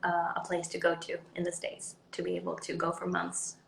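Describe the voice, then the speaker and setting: A woman's voice: fairly low pitch, monotone, fairly quick, vocal fry, a little hiss or hum. Swedish woman chatting, echoey room. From Sweden. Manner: chatty, rambling, frequent um and like. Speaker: actress guest. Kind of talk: casual interview chat.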